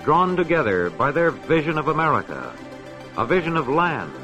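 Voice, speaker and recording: A man's voice: a little high, sing-song, normal speed, lo-fi sound, music in the background. A man delivering a formal, solemn speech about the nation in a declamatory manner. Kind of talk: formal oratory.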